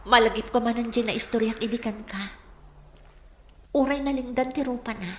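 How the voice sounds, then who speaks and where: A woman's voice: a little high, moderate pace, natural voice. An actress playing a wistful, troubled village woman who longs for peace, in an earnest, reflective radio drama style. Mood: troubled, sorrowful. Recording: echoey room with faint noise.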